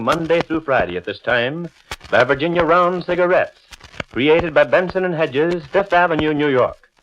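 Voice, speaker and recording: A man's voice: on the high side, a bit bright. A man announcing in a formal, scripted 1940s radio style, introducing programs and reading sponsor copy. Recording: muffled old radio broadcast with noise.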